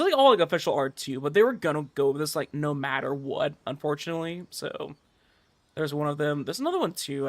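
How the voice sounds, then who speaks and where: A man doing casual livestream commentary over a game, chatting with viewers in an animated, exasperated, repetitive rant. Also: a little high, vocal fry. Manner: animated ranting, exasperated, swearing, repetitive emphasis.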